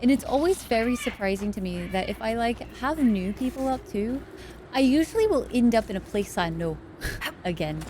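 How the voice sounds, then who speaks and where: A woman's voice: on the high side, lively intonation, moderate pace, a little mellow, slight background noise, poor audio. A tired woman chatting casually with her viewers while she streams a game late at night. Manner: chatty, thanks viewers and reads chat aloud. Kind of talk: casual chat while gaming live.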